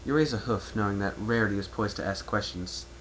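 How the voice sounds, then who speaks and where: Low-voiced man narrating a story. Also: brisk, a bit bright. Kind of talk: dramatic reading of fan fiction, second-person narration. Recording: noisy amateur home recording.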